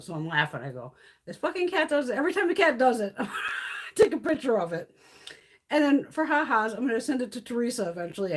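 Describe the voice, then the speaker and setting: Chatty, husky-voiced woman streaming live. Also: fairly high pitch, a bit bright. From Connecticut, USA. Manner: swears freely, laughs often, chatty storytelling. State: starting to lose her voice. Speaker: beauty live-stream host. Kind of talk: casual live-stream chat.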